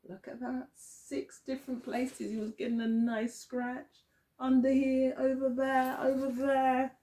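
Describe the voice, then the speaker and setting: Woman, early years teacher, children's storytelling, high voice, very unhurried pace, expressive, questioning. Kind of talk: storybook reading for young children.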